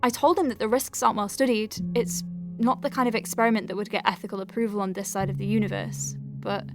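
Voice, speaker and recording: A woman playing a conscientious, wry and compassionate doctor dictating patient notes in an audio drama, measured and articulate with conversational asides. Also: mid-range voice, fairly even intonation, over music, lightly acted. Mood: earnest, explanatory. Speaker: doctor at a clinic.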